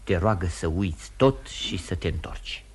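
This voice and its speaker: Deep, husky, proper gentleman. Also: lively intonation, in a whisper. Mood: quietly pleading. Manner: formal, restrained, pleading. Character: earnest, proper gentleman, loyal family friend and envoy. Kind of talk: mid-20th-century radio theatre acting. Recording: old radio drama, music behind.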